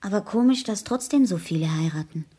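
A woman's voice: medium pitch, animated, brisk, mellow, close to an everyday voice. An actress playing a naive, dreamy, earnest teenage girl in a 1970s radio play, with an artless manner. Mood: curious, dreamy.

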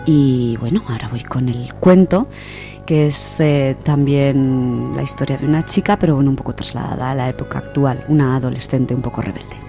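A woman's voice: a bass voice, fairly even intonation, normal speed, dark tone, soft background music. A woman with an accent from Spain, presenting and reading on a literary radio segment. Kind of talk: radio talk and reading of a first-person short story.

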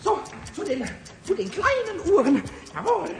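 A man voicing a cheeky, hot-tempered, childlike little kobold in a children's radio play, squeaky, stuttering and repeating words. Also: moderate pace, exaggerated voice. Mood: gleeful scheming. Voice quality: shrill, squeaky. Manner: stuttering excited outbursts, repeats words, cackles. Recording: noisy old radio play recording.

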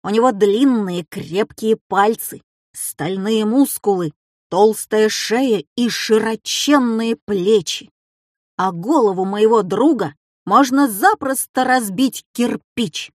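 A woman's voice: medium pitch, takes it slowly, darkish tone, dry recording, studio quality. A woman voicing an exuberant, teasing schoolboy in a children's story, proclaiming his words boastfully. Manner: proclaiming, exclamatory.

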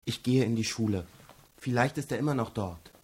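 An actor playing a practical, calm young man in a radio crime drama, speaking matter-of-factly. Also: medium pitch, normal speed, breathy edge, close to an everyday voice.

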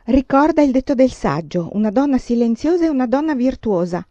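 A woman's voice: high-pitched, animated, a little fast, warm tone, no room sound, muffled recording, voice pushed up. A woman voicing a stern middle-aged mother who lectures her daughter sharply and impatiently, read aloud as an audiobook character. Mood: admonishing.

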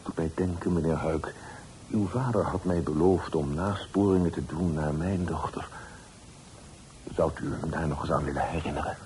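A man's voice: extremely low pitch, normal speed, warm tone. An actor playing a humble, chatty, gossipy man, deferential in manner, in a period radio drama. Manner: deferential, garrulous. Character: humble, chatty, gossipy male family acquaintance seeking his daughter. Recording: noisy old radio play recording.

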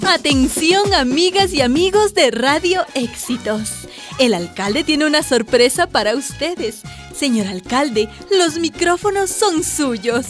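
High-voiced radio announcer. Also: female voice, expressive, slow, faint music behind. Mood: excited. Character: upbeat local radio station announcer.

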